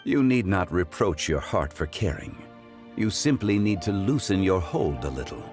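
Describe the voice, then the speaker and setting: A man playing a calm, wise, courteous scholarly gentleman in a video game, speaking formally in measured, eloquent phrases. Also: sits low, over music. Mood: calm, philosophical. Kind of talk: scripted video game dialogue.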